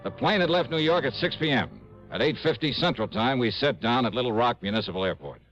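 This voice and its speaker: A man playing a wry, animated radio detective. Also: mid-range voice, fairly quick, lightly acted. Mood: brisk narration. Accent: American. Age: 30s. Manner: clipped, wry, hardboiled. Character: brisk, wry thirty-year-old male freelance insurance investigator. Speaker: lead actor in a radio detective drama. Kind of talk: 1950s radio acting, hardboiled first-person narration. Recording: dull old radio recording, music behind.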